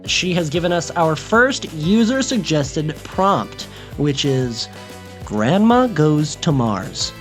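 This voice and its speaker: A man's voice: fairly high pitch, big pitch swings, takes it slowly, faint music behind. An American man hosting a podcast in a casual, chatty style. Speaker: podcast host. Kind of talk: casual chat.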